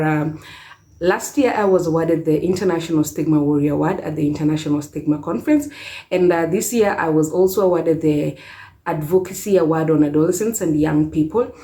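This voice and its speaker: Young female advocate, Kenyan accent, warm mellow lower register, prepared talk. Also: normal speed. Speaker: HIV stigma advocate. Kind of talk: prepared self-introduction talk.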